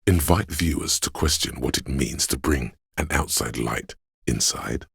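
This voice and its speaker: A man voicing a wry, pretentious inner voice of artistic thought in a video game, narrating with measured, droll pomposity and a very deep, gravelly, husky delivery. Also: a bit bright, no echo, studio quality, heavily acted. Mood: wry musing.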